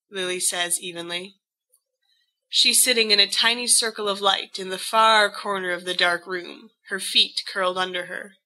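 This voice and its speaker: A woman reading a story aloud as an audiobook narrator, in an expressive scripted style. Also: mid-range voice, rough recording.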